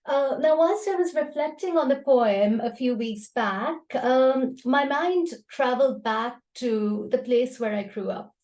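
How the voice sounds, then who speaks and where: Female poetry host, fairly high voice, conversational explainer and reciter. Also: expressive, a little slow, hardly any pauses, darkish tone. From Karachi, Pakistan. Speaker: host and poet at a poetry gathering. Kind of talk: spoken introduction and poetry recital. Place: online video call.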